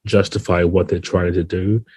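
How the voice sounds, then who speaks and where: A man with a deep voice talks through his ideas in a conversational interview. His delivery is level, with little melodic movement, and the recording is close and dry. He explains thoughtfully, qualifying points as he goes. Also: level tone, normal speed, darkish tone, slightly rough, dry recording. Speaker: game designer, podcast guest. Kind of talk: conversational interview answers, explaining game rules.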